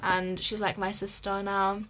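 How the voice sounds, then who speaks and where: Chatty giggly teenage girl, bright voice. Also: mid-range voice, monotone, a little slow, a little hiss or hum. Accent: Egyptian. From Egypt. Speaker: podcast co-host. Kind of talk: casual chat.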